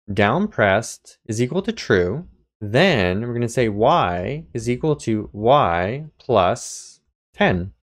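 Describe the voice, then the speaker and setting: A man narrating an informal step-by-step programming tutorial as the instructor, explaining things and answering his own questions. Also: medium pitch.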